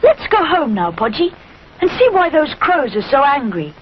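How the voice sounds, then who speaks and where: Woman as young boy bear, very high pitch, swooping intonation, eager, friendly, children's storybook character. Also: wildly swooping pitch, moderate pace, lo-fi sound, slight background noise.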